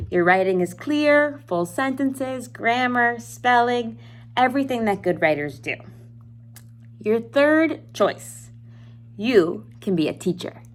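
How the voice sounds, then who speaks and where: A woman with a fairly high voice and very lively, swooping intonation. She talks like a schoolteacher guiding young students through a task, informal and encouraging, often putting questions straight to the listener. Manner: encouraging, instructional, with rhetorical questions; informal, addresses listeners directly. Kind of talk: explaining an assignment to young students.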